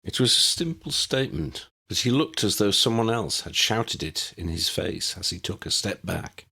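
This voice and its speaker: A man's voice: lowish voice, lively intonation, moderate pace, vocal fry, pushed and bright, slightly rough. A man reading scripted story narration as an audiobook narrator.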